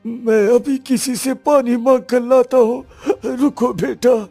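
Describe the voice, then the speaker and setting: Woman acting slow, pleading hermit father. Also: a little high, steady tone, mellow, soft background music. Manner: pleading, plaintive. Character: pleading male hermit, anguished father of wounded son. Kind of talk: Hindi children's cartoon story acting.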